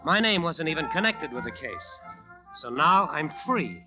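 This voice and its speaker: Old-time radio drama: haunted jazz bandleader, clipped confessional narration, voice a touch high, muffled sound. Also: male voice, big pitch swings, unhurried. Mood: relieved, intent. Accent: American. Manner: clipped, hard-boiled short sentences. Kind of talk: 1940s-50s radio acting, first-person narration. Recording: dull old radio recording, music behind.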